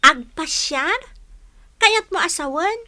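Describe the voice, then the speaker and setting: Woman acting a bright, high-voiced young village girl. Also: animated, slow, heavily acted. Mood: inquisitive. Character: sympathetic, inquisitive young village girl, a friend. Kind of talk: Ilocano radio drama acting.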